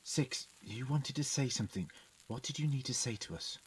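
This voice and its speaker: A man acting a calm, caring Time Lord doctor in an audio drama. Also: mid-range voice, normal speed, husky. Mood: gentle, concerned. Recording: noisy fan audio drama recording.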